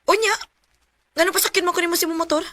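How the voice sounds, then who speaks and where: A woman's voice: very high-pitched, steady tone, fast, sharp tone, no echo. A woman acting in a Bisaya radio drama as a demanding, wary female boss carrying hidden grief, with a Filipino Bisaya accent. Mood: suspicious.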